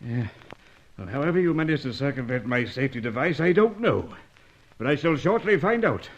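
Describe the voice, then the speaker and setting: A man's voice: mid-range voice, big pitch swings, brisk, highs cut off, a little hiss or hum. An actor playing an irritable, self-important older British businessman in an old-time radio drama, indignant and spluttering. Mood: stern, blustering. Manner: indignant, spluttering, hesitant starts.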